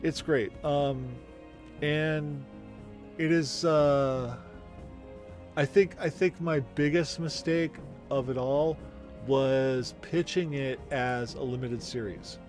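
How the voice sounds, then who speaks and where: A man in his forties, the game master, chatting casually and rambling with many pauses. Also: on the high side, fairly even intonation, a little mellow, soft background music. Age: 40s. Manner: rambling, hesitant. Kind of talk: casual stream chat.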